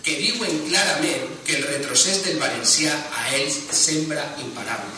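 A man's voice: fairly high pitch, a little slow, pushed and bright. Indignant male councillor, distant and echoey. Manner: indignant, insistent. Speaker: opposition councillor. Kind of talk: council debate speech. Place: council chamber. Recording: echoey council chamber from afar.

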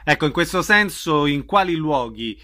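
A man's voice: a little high, expressive, moderate pace, pushed and bright. A man working as a radio interviewer, putting questions in a conversational way.

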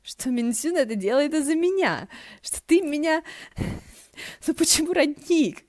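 A woman's voice: high-pitched, expressive, measured pace, darkish tone, no room sound, voice pushed up. A woman voicing a playful, chatty young male wind deity in a fan-fiction audiobook, gushing and full of eager questions. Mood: giddy, teasing. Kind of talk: audiobook character voice.

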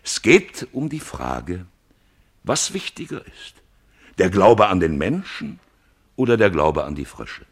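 Casting: a self-righteous arch-priest for radio drama, low voice, oratorical, swooping emphatically. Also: male voice, sing-song, slow, husky, exaggerated voice. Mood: solemn threat. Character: grandiloquent male arch-priest, fervent, combative and self-righteous. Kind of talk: German radio play acting.

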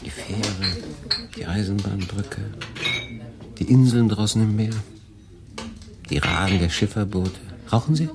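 An actor playing a world-weary German refugee in a wartime radio play, confiding and rambling to a silent listener. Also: male voice, fairly low pitch, measured pace, long pauses, background noise, natural voice. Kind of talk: radio play acting, conversational monologue. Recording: busy café murmur behind. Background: crowd in a café.

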